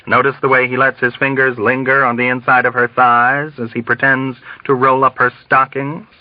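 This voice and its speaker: Man voicing a jaded general, calm, wearily sardonic, condescending, audiobook, slightly muffled recording. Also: medium pitch, normal speed, no echo, lightly acted. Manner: weary, dry, condescending.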